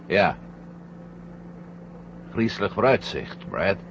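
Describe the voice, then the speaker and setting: A wry, defiant astronaut hero from a vintage science fiction radio drama. His voice is low and gravelly, moving freely in pitch as he makes dry challenges. The old recording is dull, with clear noise. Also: sing-song, takes it slowly, full character voice. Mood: uneasy.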